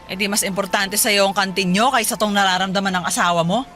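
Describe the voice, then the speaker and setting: A woman's voice: mid-range voice, steady tone, fast, natural voice. A woman playing a nagging fifty-year-old mom. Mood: hurt. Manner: scolding, lecturing. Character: fifty-year-old housewife mother, nagging but caring. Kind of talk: radio drama acting. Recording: background music. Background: soft music.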